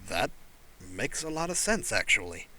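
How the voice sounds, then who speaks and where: Man voicing a wry alien raccoon pilot, bright baritone. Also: big pitch swings, moderate pace, full character voice. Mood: enthusiastic, curious. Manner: wry, curious. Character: small furry male alien raccoon pilot, wry and enthusiastic. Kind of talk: audiobook character voice.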